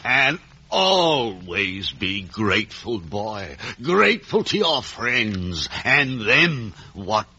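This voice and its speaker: Pompous, slow, piercingly sharp uncle. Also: male voice, fairly low pitch, big pitch swings, slightly rough, dull audio, full character voice. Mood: pompous, fawning. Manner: unctuous, grandiloquent. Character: pompous, fawning, self-important provincial uncle, older man. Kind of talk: 1950 radio drama acting.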